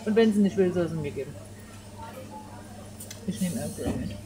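A woman's voice: fairly low pitch, lively intonation, normal speed. A woman shopper chatting casually in a supermarket. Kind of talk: casual chat while shopping. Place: inside a supermarket. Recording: loud supermarket background noise.

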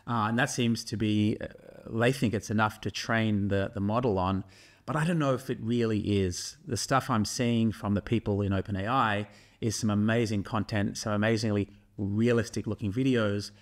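A middle-aged man speaks to the camera in a studio, his voice sitting a little low. He explains things thoughtfully and keeps turning to ask his viewers what they think. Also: fairly even intonation, moderate pace. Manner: thoughtful, asks viewers questions directly. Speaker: host and neuroscience professor. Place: studio in front of a brick wall.

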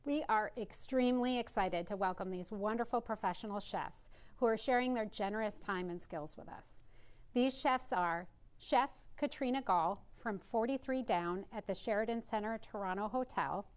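A woman's voice: medium pitch, moderate pace, smooth. Woman co-hosting a live cooking show. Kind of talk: live presenting, semi-scripted. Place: TV studio kitchen.

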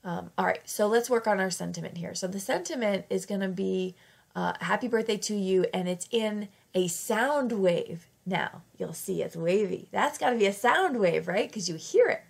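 A woman's voice: medium pitch, moderate pace, vocal fry. A woman fighting off a cold, narrating a casual craft tutorial in a chatty, self-correcting way. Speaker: craft channel host.

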